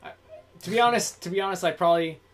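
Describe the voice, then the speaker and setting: A man's voice: high voice, quick pace, fairly bright tone. A young man co-hosting a livestream, talking candidly and hesitantly with many ums while gaming. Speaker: livestream co-host and voice actor. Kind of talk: casual chat while playing a game.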